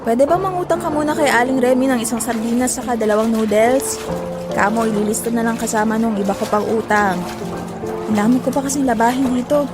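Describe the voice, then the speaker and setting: Actress as weary nagging mother, high voice, scolding and sarcastic, Filipino audio drama, prominent music behind. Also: fairly quick, close to an everyday voice. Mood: weary, motherly. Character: exasperated, struggling working mother and nagging wife.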